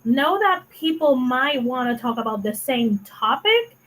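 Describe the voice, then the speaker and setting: A woman's voice: high-pitched, very animated, a little slow, a bit bright. A woman with a Puerto Rican accent co-hosting a casual online chat, earnest and thoughtful, sometimes searching for words, on a video call. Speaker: co-host.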